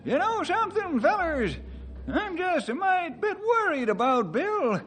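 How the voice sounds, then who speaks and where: A man's voice: very high voice, very animated, measured pace, background noise, exaggerated voice. A man playing a folksy, fretful old western sidekick in a radio drama, talking in colloquial frontier style. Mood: worried.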